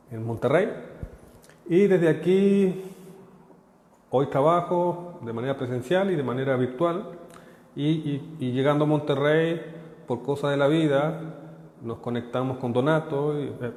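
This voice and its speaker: A Chilean man giving a live workshop talk as a consultant. He speaks haltingly, repeats words and tags his phrases with checks to the audience. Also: mid-range voice, a little mellow. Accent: Chilean, partly Mexican. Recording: echoey room with long reverb.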